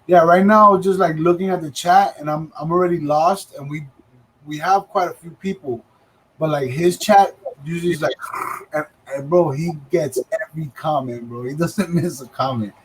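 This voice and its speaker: A man in his thirties co-hosting a casual livestream, joking and chattering fast with his friends and the chat. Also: a little high, slightly rough, poor audio. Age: 30s. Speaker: livestream co-host.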